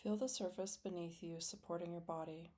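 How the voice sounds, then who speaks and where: Low-pitched woman guiding a slow meditation. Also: fairly even intonation. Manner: gentle, soothing, deliberate with long pauses. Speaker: meditation guide. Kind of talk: guided meditation, scripted and slow.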